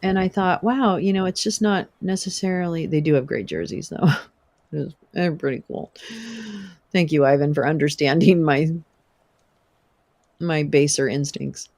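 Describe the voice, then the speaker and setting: A young woman hosting a casual livestream, chatting with viewers, swearing and laughing often, her voice wearing hoarse. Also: low voice, a little slow, long pauses, a little mellow. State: losing her voice. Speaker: livestream host. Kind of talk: casual livestream chat reading viewer comments.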